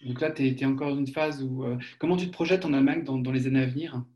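Interviewer from France, mid-pitched man, meandering question style. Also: fairly even intonation, fast, mellow, muffled recording. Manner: long winding questions with restarts. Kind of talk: conversational interview.

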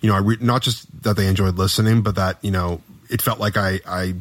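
A man's voice: sits low, fairly even intonation, a little fast, no echo. A man hosting a podcast interview, chatting in an encouraging, casual way.

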